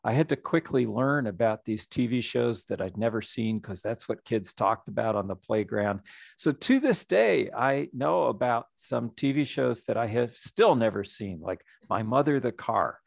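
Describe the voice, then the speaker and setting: A middle-aged man, a nonprofit executive director, giving a reflective, measured story-led presentation over a video call. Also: mid-range voice, animated, vocal fry, no room sound, clean studio sound. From United States.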